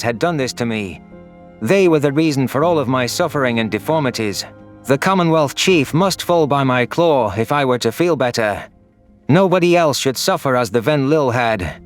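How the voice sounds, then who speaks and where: A man's voice: mid-range voice, close to an everyday voice. Guy doing a anguished vengeful young alien narrating his sci-fi story, solemn and brooding, faint music behind. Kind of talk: dramatic first-person audiobook narration.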